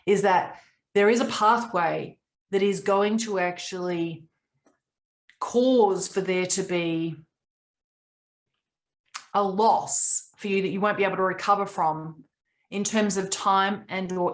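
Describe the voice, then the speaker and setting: A woman in her late forties, raised in Papua New Guinea, coaching a group on a video call in an earnest, reflective way with long pauses. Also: medium pitch, fairly even intonation.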